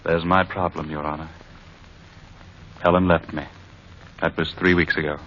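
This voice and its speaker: A man's voice: sits low, level tone, moderate pace, fairly bright tone. An actor playing a troubled, tender father in an old-time radio drama. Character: troubled, tender father abandoned by his wife. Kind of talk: 1940s-style radio drama acting. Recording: dull, noisy old radio recording.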